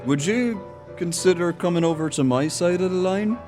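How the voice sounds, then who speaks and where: An actor in an audio drama playing a sly, genteel criminal mastermind with a suave, mock-polite manner. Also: male voice, high voice, normal speed, darkish tone, faint music behind, lightly acted. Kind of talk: audio theatre acting.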